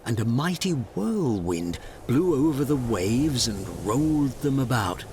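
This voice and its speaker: A man's voice: mid-range voice, sing-song, measured pace, a little mellow, slight background noise. A man narrating a scripted fairy tale as a storyteller.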